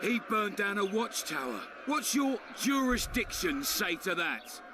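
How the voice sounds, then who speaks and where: High-pitched swaggering, sardonic commander. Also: male voice, sing-song, a little slow, background noise. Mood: sly menace. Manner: theatrical, sardonic. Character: swaggering crude male town commander, mocking, menacing.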